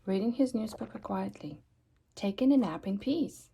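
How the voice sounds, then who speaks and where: A woman reading a children's story aloud expressively, with dramatic emphasis and pauses. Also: mid-range voice, animated, vocal fry, mellow, husky. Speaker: storybook reader.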